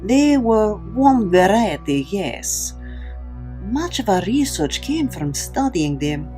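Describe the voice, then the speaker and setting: A woman playing a calm, authoritative Soviet vampire kommissar in an audio drama, speaking in a measured, worldly way. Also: medium pitch, soft background music. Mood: grave, explaining.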